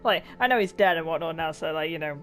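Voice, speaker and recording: A woman's voice: low-pitched, fast, fairly bright tone. A young woman giving casual, sweary let's play reactions, giggly and irreverent. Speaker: gaming streamer. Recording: game music behind the voice.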